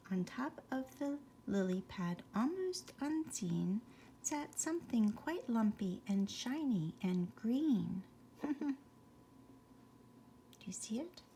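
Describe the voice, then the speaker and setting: A woman's voice: on the high side, darkish tone, slight background noise. A woman reading a rhyming children's bedtime story expressively, with chatty asides to young listeners.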